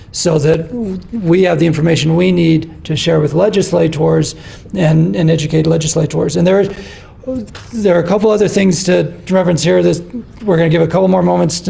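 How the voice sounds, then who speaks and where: A man moderating a city policy committee meeting, brisk and organized, often repeating words as he goes. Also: a little high, little pitch movement, gravelly, mellow.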